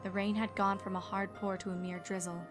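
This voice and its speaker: Casting: female storybook narrator, mid-range pitch, scripted delivery, soft music bed underneath. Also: level tone, a little fast.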